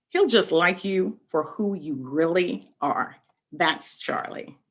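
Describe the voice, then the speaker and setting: Expressive, slightly husky woman reading to children. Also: sits low. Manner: expressive, explaining simply and addressing young listeners with questions. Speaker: read-aloud host for children. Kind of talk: reading a picture book aloud to children with asides and questions.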